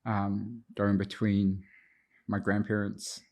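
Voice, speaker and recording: A man's voice: on the low side, level tone, takes it slowly, darkish tone. A man in his thirties with a New Zealand accent who grew up speaking Te Reo Māori, reflectively telling his personal story as an interview guest, with some hesitation. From Auckland, New Zealand. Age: 30s. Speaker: podcast guest, addiction counsellor.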